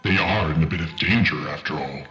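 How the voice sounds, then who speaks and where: A man's voice: very deep voice, brisk, bright, forceful tone, lo-fi sound. A man playing a menacing, taunting villain with a mock-courteous manner, in an echoey recording. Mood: sinister, gloating. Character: menacing male villain, taunting, smooth and sinister. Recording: echoey, with faint music behind.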